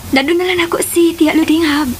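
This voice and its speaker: A woman's voice: very high voice, fairly even intonation, fairly quick, a little hiss or hum. A woman acting a sweet, coy young wife and mother in a Philippine radio drama. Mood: content.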